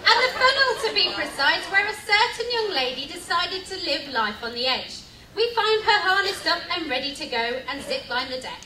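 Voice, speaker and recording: A woman's voice: extremely high pitch, sing-song, moderate pace. A woman giving scripted comic narration for an amateur live radio play, theatrical and playfully reading out stage directions. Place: public lounge on a cruise ship. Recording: echoey distant mic, crowd and noise.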